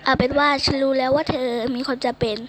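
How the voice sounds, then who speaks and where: A woman's voice: high-pitched, fairly even intonation, quick pace, natural voice. A woman reading the part of a stern, exasperated teacher who questions a student bluntly, in a comic read-aloud. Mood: probing.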